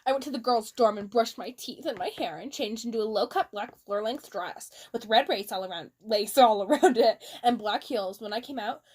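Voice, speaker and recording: A young woman with a high voice reads fan fiction aloud as comedy. Her delivery is casual and giggly, swinging into mock drama and stepping out for offhand asides. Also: normal speed, few pauses, a little mellow, slightly rough. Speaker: amateur fan fiction reader.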